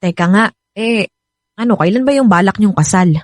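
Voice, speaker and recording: A woman's voice: medium pitch, normal speed, dry recording, close to an everyday voice. An actress playing a chatty, frank, protective female cousin in a Filipino radio drama, with a Filipino accent. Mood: friendly, chatty.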